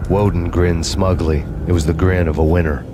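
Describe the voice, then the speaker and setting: An actor playing a bitter, world-weary detective antihero, narrating in a brooding, deliberate hardboiled noir style for a video game. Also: male voice, deep voice, fairly even intonation, noisy background, natural voice. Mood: wry, resolved.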